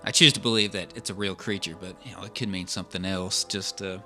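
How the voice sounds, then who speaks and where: A man chatting casually as a podcast co-host, in a halting, rambling and hesitant way. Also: low voice, fairly even intonation, pushed and bright, soft background music. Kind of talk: casual chat.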